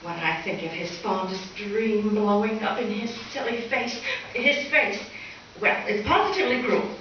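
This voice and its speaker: A woman's voice: medium pitch, normal speed, fairly bright tone, muffled recording, lightly acted. A woman playing a wry, sensible schoolteacher, articulate and reproving, in old-time radio comedy style. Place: live stage performance in a reverberant room. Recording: echoey distant stage recording, faint noise.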